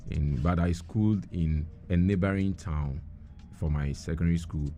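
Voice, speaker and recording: A man's voice: deep voice, normal speed, warm tone, slight background noise. A middle-aged man who grew up in Nigeria, a guest in a radio interview, telling his story earnestly with many ums and restarts. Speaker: guest entrepreneur.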